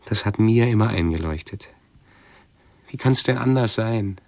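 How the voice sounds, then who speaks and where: Nervy pedantic hypochondriac man, low voice, dry close sound, broods and hesitates, radio play. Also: creaky, dry recording. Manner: halting, brooding, fussily precise. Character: anxious, pedantic male hypochondriac with obsessive self-observation. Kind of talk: radio drama monologue and dialogue.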